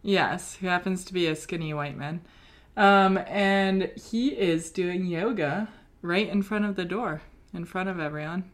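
Slow, chatty British woman storyteller. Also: fairly low pitch. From Britain. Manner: chatty storyteller, many ums and likes. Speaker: podcast co-host. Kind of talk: casual chat.

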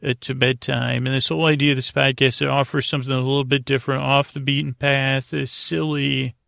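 A man hosting a bedtime podcast in a gravelly voice, rambling haltingly and repeating words as he drifts into goofy, gently silly tangents. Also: mid-range voice, little pitch movement, dry recording, studio quality. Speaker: host of a bedtime sleep podcast. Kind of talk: rambling, meandering bedtime monologue.

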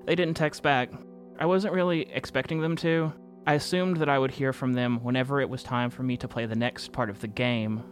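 Male actor as anxious male narrator, audio fiction monologue, mid-range voice, reflective, deliberate, faint music. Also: medium pitch, steady tone, lightly acted. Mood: reflective, uneasy. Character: anxious male narrator confessing a reality-bending game.

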